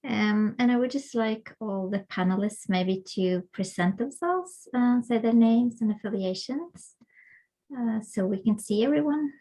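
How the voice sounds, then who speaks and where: A woman hosting an academic webinar over a video call, introducing the panel with frequent fillers and pauses. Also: on the high side, warm tone, poor audio. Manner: hesitant, many fillers. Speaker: webinar host and co-editor.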